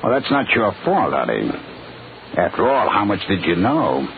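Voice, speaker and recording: A gangster whose sweet talk carries a threat, in old radio style. His voice is fairly low with lively swings. The recording is thin and faintly noisy. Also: normal speed, gravelly, phone-quality sound. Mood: quietly menacing. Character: smooth-talking, menacing male gangster in a radio mystery. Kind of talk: 1940s radio acting.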